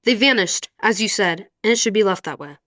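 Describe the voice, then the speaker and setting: Woman playing secretive male royal staffer, formal, defensive, mid-pitched, dry sound. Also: expressive, brisk, fairly bright tone, no room sound, close to an everyday voice. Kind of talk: radio play acting.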